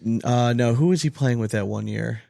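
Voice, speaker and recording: A man's voice: medium pitch, normal speed, creaky, dark tone, no room sound, studio quality. A man co-hosting a casual sports podcast, talking in an emphatic, opinionated way and swearing casually. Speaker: podcast co-host.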